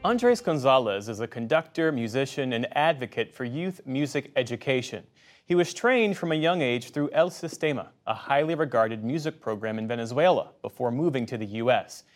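A man's voice: mid-range voice, expressive, moderate pace. Man with a polished newsreader intro. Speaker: news program announcer. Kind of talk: scripted introduction.

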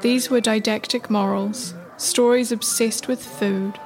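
A woman's voice: a little high, steady tone, unhurried, darkish tone. A woman narrator reading a scripted radio play in a storybook style. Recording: faint music under narration.

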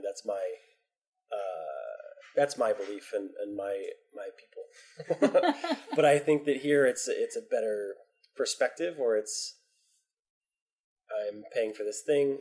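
A man's voice: lowish voice, a little mellow. A man talking casually in an interview, hesitant and rambling with frequent laughs. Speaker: bar owner interviewee. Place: bar.

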